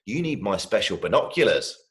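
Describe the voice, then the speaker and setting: A man voicing an excitable, adventurous little brother in a storybook, exclaiming eagerly. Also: lowish voice, fairly quick. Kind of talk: storybook character voice.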